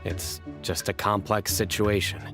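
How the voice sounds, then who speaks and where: A man's voice: on the low side, little pitch movement, unhurried, over music. An actor playing a stern, reserved young lawman in a video game, terse, serious and guarded.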